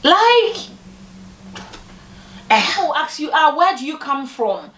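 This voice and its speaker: A young woman from Ghana telling personal stories to camera in a lively way, acting out what other people said. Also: slow, slight background noise. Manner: animated, acts out quoted people with big pitch jumps. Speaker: vlogger. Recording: echoey room, voice from a distance.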